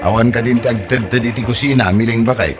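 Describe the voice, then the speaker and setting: A man's voice: medium pitch, fast talker, over loud music, close to an everyday voice. A man playing a grumbling but easygoing husband in an amateur comedy audio drama, with a casual, grunting delivery.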